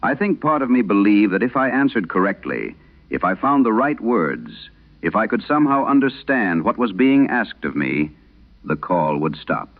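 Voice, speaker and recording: A man narrating a scripted vintage radio horror tale in the first person, in a grave, measured, gravelly voice. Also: fairly low pitch, big pitch swings. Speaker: narrator of a horror audio drama. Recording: muffled, band-limited vintage radio sound.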